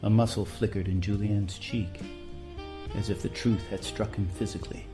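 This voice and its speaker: Deep voiced guy reading a romance audiobook, dark mellow tone, measured, faint music behind. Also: steady tone. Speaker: narrator. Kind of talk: scripted literary romance narration.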